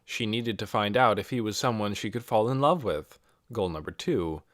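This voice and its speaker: A man's voice: lowish voice, moderate pace, no echo, clean studio sound. A man reading a scripted novel aloud as an audiobook narrator. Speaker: audiobook reader.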